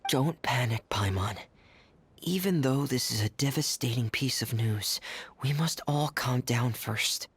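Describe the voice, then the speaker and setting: Quiet young game hero: introverted, gentle, husky whispery breath, unhurried. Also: male voice, mid-range voice. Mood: calm. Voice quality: soft, breathy. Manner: hesitant, hushed, deliberate. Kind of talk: video game voice acting.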